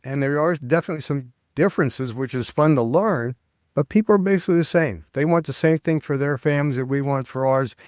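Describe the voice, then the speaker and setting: Animated older man telling travel stories. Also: a little high, clear, resonant tone, dry recording, studio quality. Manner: animated storyteller, acts out quoted voices. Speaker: guest, public speaking coach. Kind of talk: casual interview chat.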